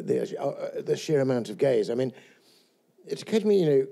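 Gravelly, musing man. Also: medium pitch, warm tone. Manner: thoughtful, musing, measured. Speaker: former college chaplain. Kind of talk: reflective audience comment. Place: college event hall with an audience.

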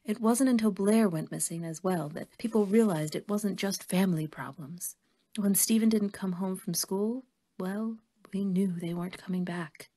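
A woman playing a kindly, worried older woman who believes in fairies, gentle and motherly in an audio drama. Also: mid-range voice, normal speed, natural voice. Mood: sorrowful.

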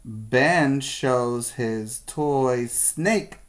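A middle-aged man, a preschool teacher, reading a storybook aloud and putting questions to his young pupils. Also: medium pitch. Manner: slow, deliberate reading with questioning asides. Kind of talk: children's story read-aloud with asides to pupils. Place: small plain room indoors.

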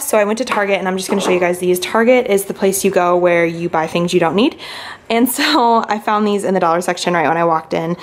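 A young woman vlogger chatting casually and upbeat about her shopping, filmed at home with a handheld camera. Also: medium pitch. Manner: chatty and upbeat, lots of fillers. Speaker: lifestyle vlogger. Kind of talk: casual grocery haul chat. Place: indoors at home, kitchen counter. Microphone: handheld camera at arm's length.

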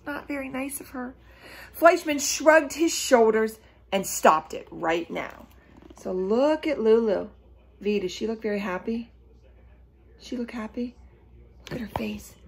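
Husky woman reading to children, unhurried. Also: on the high side. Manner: animated, emphatic, questioning the listeners. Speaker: storybook reader for children. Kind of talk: children's read-aloud with asides. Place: small room at home, indoors.

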